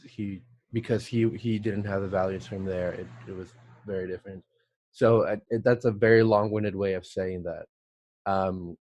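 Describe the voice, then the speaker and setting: Slow, low-voiced man from El Salvador. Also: darkish tone, no room sound. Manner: halting, thoughtful, many pauses and restarts. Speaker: guest, art director. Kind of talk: casual podcast interview.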